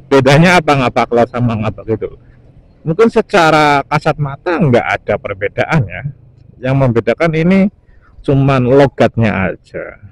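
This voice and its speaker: A man's voice: mid-range voice, poor audio. A young man, chatting casually to viewers as he commentates on his motorcycle ride. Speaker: motovlogger. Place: outdoors, riding a motorcycle on a highway. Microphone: helmet-mounted mic. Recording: clear engine and traffic noise.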